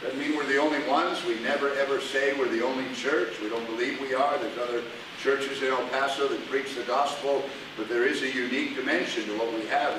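A pastor speaking plainly and emphatically to a men's meeting in a church meeting room. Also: male voice, medium pitch. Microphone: far camera. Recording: echoey room from far off with hiss.

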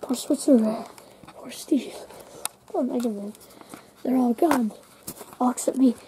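High-pitched frightened monster-attack survivor played by a woman. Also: unhurried, close to an everyday voice. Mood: shaken, mournful. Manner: breathless exclamations, hushed stammering. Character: frightened toy film-crew survivors fleeing a monster. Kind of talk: amateur found-footage horror play-acting with toys. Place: outdoors on a covered paved patio. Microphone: handheld camera microphone. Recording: faint noise, handheld camera audio.

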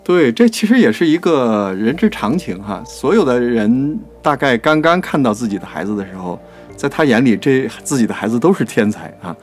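A man's voice: medium pitch, sing-song, normal speed, faint music behind. A man co-hosting a literary talk, chatting casually, agreeing and prompting his partner. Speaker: podcast producer and co-host.

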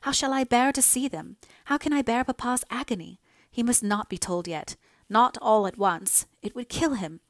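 A woman's voice: a little high, moderate pace, no room sound, clean studio sound, voice pushed up, close to an everyday voice. A woman voicing a devoted young daughter in an audiobook, earnest, fervent and pleading. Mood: anguished. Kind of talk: dramatised audiobook dialogue.

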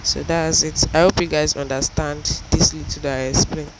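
A woman's voice: low voice, flat delivery, normal speed, very noisy. A young adult woman with a Nigerian accent giving a casual, explanatory vlog recap as a student storyteller. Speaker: student vlogger.

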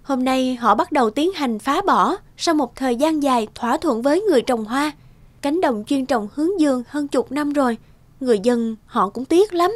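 A woman's voice: high voice, lively intonation, moderate pace, no room sound. A woman voicing a cheerful, helpful young hospital nurse in a cartoon, speaking in a polite, chatty way. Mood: sympathetic, explaining. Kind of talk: cartoon voice acting.

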